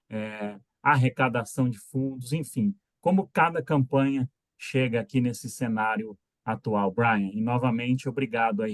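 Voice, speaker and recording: A man moderating an online panel, introducing the guests in a halting way with many filler pauses, on a video call. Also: medium pitch, no echo.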